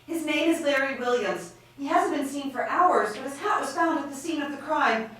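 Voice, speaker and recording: High, bright clerk sidekick played by a woman. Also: expressive, normal speed. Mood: businesslike. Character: efficient, businesslike young female police clerk sidekick. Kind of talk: live radio theatre acting. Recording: echoey, distant stage pickup.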